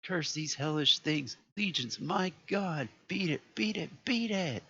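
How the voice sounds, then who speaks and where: A man voicing a terrified man trapped in a vault, pleading and shouting in panic. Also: mid-range voice, normal speed, breathy edge, pitched above the performer's own voice. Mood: frantic. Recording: lo-fi with faint noise.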